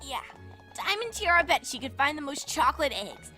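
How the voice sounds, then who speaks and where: Woman as plucky little storybook filly, earnest and animated, bright piping voice over faint music. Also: sits high, moderate pace, exaggerated voice. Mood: warning. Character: young cartoon fillies, plucky and matter-of-fact. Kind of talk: fan fiction audio reading, cartoon voice.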